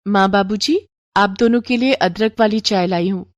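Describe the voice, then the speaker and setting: A woman acting as young daughter-in-law, gentle, respectful, eager to please, cartoon story, dry recording. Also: medium pitch, normal speed, no room sound, close to an everyday voice. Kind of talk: animated moral story dialogue.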